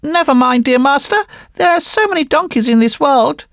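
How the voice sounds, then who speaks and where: A man's voice: animated, moderate pace, a bit bright, no room sound, studio quality, exaggerated voice. A man voicing a cheeky, sly wooden marionette boy in an audiobook, teasing and boastful. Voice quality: high, gravelly and mocking. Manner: playful, teasing storytelling. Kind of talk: audiobook reading.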